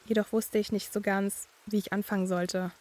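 Young female student narrator, read by an actress, medium pitch, flat intonation, measured eerie storytelling. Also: natural voice. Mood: measured, uneasy. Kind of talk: scripted first-person horror story narration.

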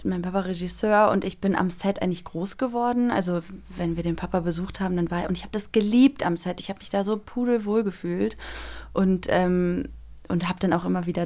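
A woman's voice: on the low side, fairly even intonation, brisk, a little mellow. Young woman chatting informally as a guest. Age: 20s. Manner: informal, youthful colloquial wording. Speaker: guest, actress and voice actor. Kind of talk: casual chat, telling personal anecdotes.

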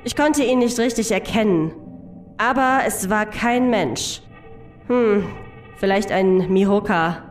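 A woman's voice: medium pitch, takes it slowly, faint music behind, natural voice. A woman playing a fierce, hot-tempered undercover agent in a German audio drama. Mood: tense, reporting.

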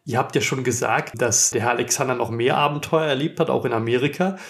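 A man's voice: mid-range voice, fast talker, creaky. A man hosting a podcast, talking with his guests in a conversational interview style. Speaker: podcast host.

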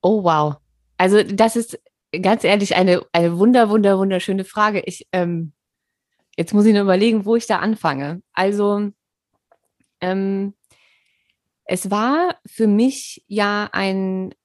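A woman hosts a casual podcast in an animated way, her voice in a middle range. She often doubles up on words as she talks, and her voice sounds dry and close with no room behind it. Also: mid-range voice, takes it slowly, dry recording. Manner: animated, stumbling over repeated words. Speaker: podcast host.

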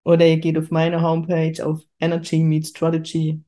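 A woman's voice: low-pitched, monotone, moderate pace, mellow. A woman from southwest Germany hosting a podcast, chatting spontaneously in an effusive, exclamatory way. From Kurpfalz, southwest Germany. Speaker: podcast host, spiritual medium. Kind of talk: casual, spontaneous podcast chat.

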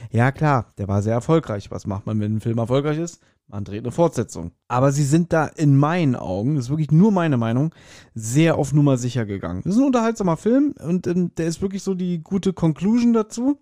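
A man's voice: a little high, animated, fairly quick, warm tone, dry recording, studio quality. A man co-hosting a podcast in casual chat, giving his opinions in slangy, explanatory talk. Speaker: podcast co-host.

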